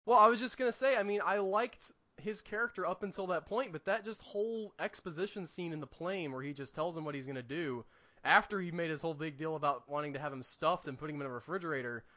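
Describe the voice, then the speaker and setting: A man co-hosting a podcast, casually discussing films in an animated, emphatic and opinionated way. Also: on the high side, fast. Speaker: podcast co-host reviewing films.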